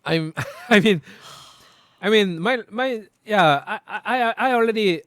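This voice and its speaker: Man, halting. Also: high-pitched, a bit bright, dry recording, studio quality. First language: Korean. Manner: halting, repeats words while searching for English. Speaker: co-host reacting to a video. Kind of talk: casual chat.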